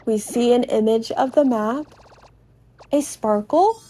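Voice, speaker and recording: A woman hosting a game podcast, narrating play dramatically with drawn-out, descriptive phrasing and a sense of wonder. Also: a little high, warm tone, slight background noise. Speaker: podcast host playing a video game.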